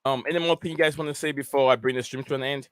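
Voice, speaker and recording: Man, stream host, bright tone, smooth voice, hesitant delivery with fillers. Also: medium pitch, fairly even intonation, racing, no echo, clean studio sound. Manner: hesitant, lots of uh and um, reads out chat comments. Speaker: host of a football podcast stream. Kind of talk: casual live-stream chat.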